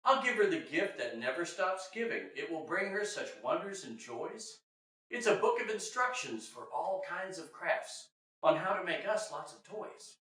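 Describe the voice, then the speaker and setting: A man's voice: high-pitched, animated, normal speed, bright, forceful tone. A man voicing a caring, earnest nine-year-old girl, the eldest sister in a rhyming children's story. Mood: earnest, excited. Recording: very echoey room, distant mic.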